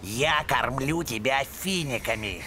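A man voicing a grumpy, cowardly, scheming old villain in an animated film, grouchy and whining. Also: mid-range voice, measured pace, a crowd in the background, close to an everyday voice. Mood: scornful.

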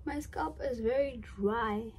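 A woman's voice: a little high, slow, a little hiss or hum. A young woman from South Africa chatting casually in a vlog, giggly and thinking aloud as she goes. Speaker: vlogger.

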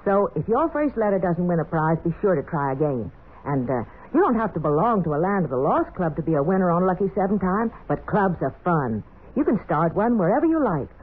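Animated woman on tinny vintage radio. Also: lowish voice, fairly quick, slightly rough. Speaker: radio show host. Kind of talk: 1940s children's radio address, scripted. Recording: tinny, phone-like 1940s radio recording.

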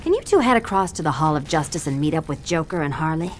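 Lively, businesslike villain ringleader. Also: female voice, deep voice, quick pace, slight background noise. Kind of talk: animated video game acting.

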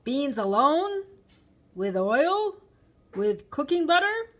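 A woman voicing a rough, impatient male shopkeeper who shouts, in a storybook reading. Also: sits high, big pitch swings, slow pace, voice pushed up, full character voice. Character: impatient, gruff male bean seller.

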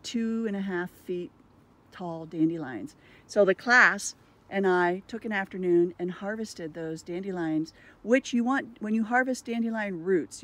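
A woman in an outdoor garden class, chatting in a plainspoken, halting way, filmed by a phone a few meters away. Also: mid-range voice. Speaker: class participant. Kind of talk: casual chat.

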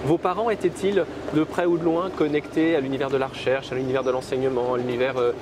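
A man interviewing a guest on an outdoor café terrace, asking measured questions and pausing to find his words. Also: medium pitch, level tone, background noise. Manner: measured, searching for words with fillers.

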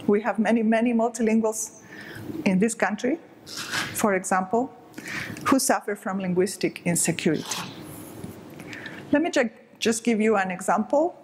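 A woman's voice: mid-range voice, normal speed, long pauses, background noise. A middle-aged woman from southern Spain, giving an explanatory, emphatic stage talk to an audience. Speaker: speaker. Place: dark stage with curtain.

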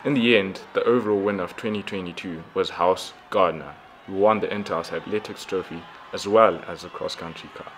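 Male school news presenter, low voice, scripted delivery, slight background noise. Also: a little fast, hardly any pauses, fairly bright tone.

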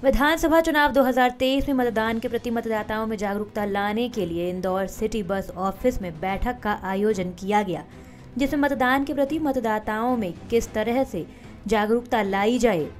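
A woman's voice: fairly high pitch, moderate pace. Woman reading news over faint music. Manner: formal, steady newsreader delivery. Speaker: news anchor. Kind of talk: scripted news narration.

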